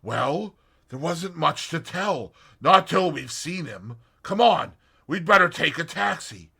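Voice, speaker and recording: A man voicing a cheerful, brisk young man in an audiobook, breezy and matter-of-fact. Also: a little high, animated, gravelly, bright, forceful tone, breathy edge, voice pushed up, exaggerated voice. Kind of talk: audiobook character voice.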